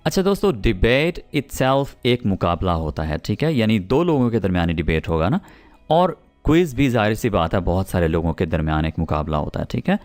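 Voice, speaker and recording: A man with a Pakistani accent teaching spoken English, explaining patiently and repeating example sentences slowly. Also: fairly low pitch, very animated, a little mellow, soft background music.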